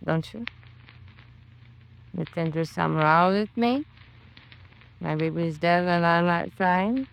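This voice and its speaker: A woman's voice: on the low side, slow, vocal fry, pauses often, clear, resonant tone, rough recording. An actress playing a bitter, drunken, grieving mother in a 1950s radio crime drama, with slangy working-class speech and brooding repetition. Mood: bitter, defiant.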